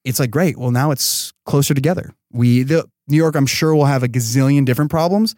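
A man's voice: medium pitch, brisk, a little mellow, dry recording, studio quality. A man speaking as a startup founder and podcast guest, in casual, enthusiastic, slangy talk peppered with "like" and "you know.".

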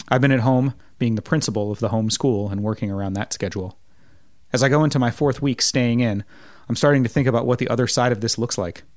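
A man hosting a podcast, reading a measured intro and then asking questions with some hesitation. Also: fairly low pitch, no room sound, studio quality. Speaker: podcast host. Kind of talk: scripted intro then casual interview.

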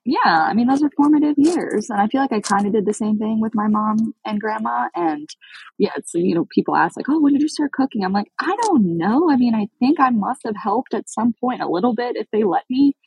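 A woman hosts a casual podcast chat, bubbly and eager to relate to her guest. Her voice is husky, with plenty of vocal fry. The mic is close and dry. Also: on the high side, animated, darkish tone, no room sound, poor audio. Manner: bubbly, quick to agree, exclaims "oh my gosh". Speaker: podcast host.

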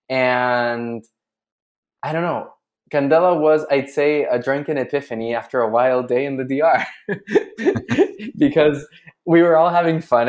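A man from Chile, a guest on a podcast, telling a story in casual chat and laughing often. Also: mid-range voice. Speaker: guest, drinks brand founder.

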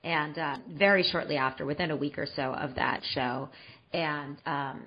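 A woman's voice: low voice, normal speed, lo-fi sound, background noise. A woman in her forties from the San Francisco Bay Area, hosting a podcast in a loose, rambling chat full of fillers and restarts. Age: 40s. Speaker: podcast host.

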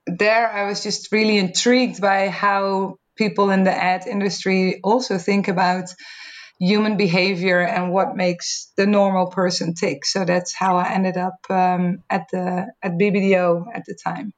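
A woman's voice: medium pitch, flat delivery, unhurried. A woman with a Dutch accent answering interview questions, often repeating words as she thinks. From Netherlands. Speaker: marketing executive guest.

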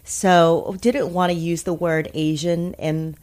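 A woman born in Taiwan and raised in America, talking casually as an interview guest, thoughtful with many pauses and restarts. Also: fairly low pitch, flat delivery, darkish tone. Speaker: interview guest, food media founder.

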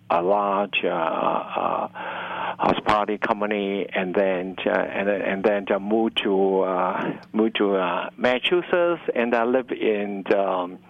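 A middle-aged man with a Hong Kong accent, speaking in halting, fragmented phrases as an interview guest. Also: low voice, gravelly, phone-quality sound. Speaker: university dining director, interview guest. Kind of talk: conversational radio interview.

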